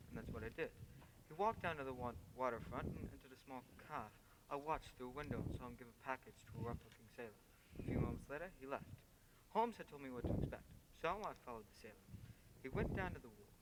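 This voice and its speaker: Man as loyal doctor sidekick, radio drama: quick, emphatic delivery, reverberant hall, noisy recording. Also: medium pitch, natural voice. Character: eager, loyal male doctor, detective's old friend. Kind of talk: radio play acting. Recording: echoing hall, noisy track with clicks.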